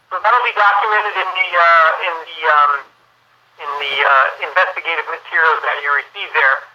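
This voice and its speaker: A man's voice: a little high, moderate pace, a little hiss or hum. A man speaking as a police chief, answering interview questions over the phone in a matter-of-fact, official manner. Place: telephone call. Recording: band-limited telephone line.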